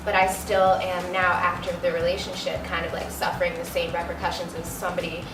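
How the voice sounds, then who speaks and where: A woman's voice: lowish voice, monotone, a little fast, noisy background. A woman in her twenties talking frankly and casually on a studio sofa, filler words throughout. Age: 20s. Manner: chatty, frank, many likes and you knows. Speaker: panel guest. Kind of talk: candid casual chat. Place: studio set with sofa, indoors. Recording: roomy, distant, music bed.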